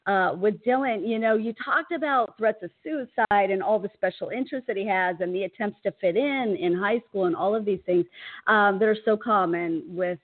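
Female talk radio host, mid-pitched, casual and effusive, thin telephone-band audio. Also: normal speed. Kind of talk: casual interview hosting. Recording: narrow phone-like radio sound.

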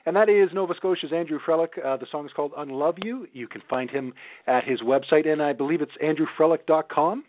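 A man's voice: on the high side, fast, dry recording, soft background music. A man hosting a radio interview show, speaking conversationally with a guest. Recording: narrow tinny phone-like line.

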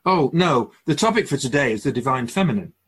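A man playing a serene immortal keeper of souls in a radio drama, speaking in a measured, formal, professorial way. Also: medium pitch, lively intonation, fairly bright tone, slightly rough. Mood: calm, instructive. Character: male-voiced immortal spirit keeper of souls, serene and instructive.

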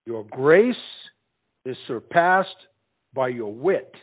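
An actor playing a tongue-tied, earnest suitor in a comic period stage play, declaiming borrowed love lines in a halting way. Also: male voice, mid-range voice, lively intonation, husky. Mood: earnest wooing. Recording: muffled stage recording with faint music.

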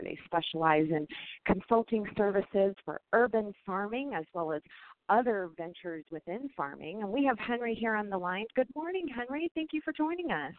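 An upbeat woman hosts a radio show and speaks with plain enthusiasm. Her voice sits at a middle pitch for a woman. The sound is narrow and tinny, like a phone line. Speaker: podcast host.

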